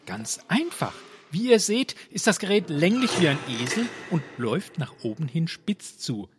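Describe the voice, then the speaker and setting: An actor playing an eager, servile travelling salesman who flatters a king with florid sales patter in a comic audio drama. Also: on the high side, moderate pace, noisy background. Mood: eager pitch. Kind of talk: amateur comedy audio drama set at a royal court.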